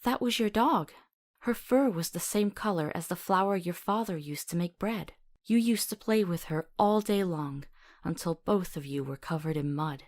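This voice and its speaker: A woman's voice: on the low side, expressive, moderate pace, a little mellow, close to an everyday voice. A woman playing a compassionate young doctor in a visual novel, talking tenderly and coaxingly as if telling a soothing story.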